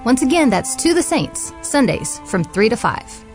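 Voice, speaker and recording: A woman's voice: on the high side, very animated, normal speed, faint music behind. A woman reading a scripted radio promo in an earnest, inviting way.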